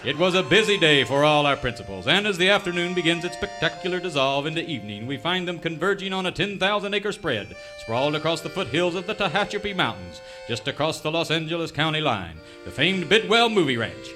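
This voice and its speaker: An actor playing a melodramatic announcer narrating a parody of an old-time cowboy radio serial. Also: male voice, on the high side, big pitch swings, brisk, hardly any pauses. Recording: music bed behind the voice.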